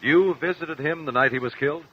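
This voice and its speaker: An actor playing a composed attorney in a radio courtroom drama, questioning witnesses in a measured, persistent way. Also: male voice, on the high side, lightly acted. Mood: insistent. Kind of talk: old-time radio courtroom drama acting. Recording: dull, noisy old radio recording.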